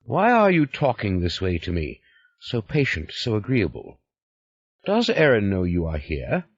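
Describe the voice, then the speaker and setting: A proud, suspicious man cast out of his order, curt and defiant, as a narrator puts him on. The voice sits higher than the narration, in a dry, dull recording. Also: huge pitch swings, unhurried, husky, no room sound, full character voice. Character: proud angry male ex-member, suspicious.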